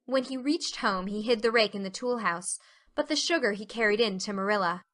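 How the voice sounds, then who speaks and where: A woman's voice: medium pitch, a little fast, fairly bright tone. A woman narrating an audiobook story as a scripted dramatic reading.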